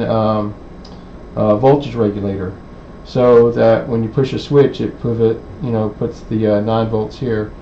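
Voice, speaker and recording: A man giving an unscripted technical demonstration in a halting, hesitant way, thinking aloud as he explains. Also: mid-range voice, darkish tone. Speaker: presenter of an electronics how-to video. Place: indoor electronics workbench. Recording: dull lo-fi audio with clear background noise.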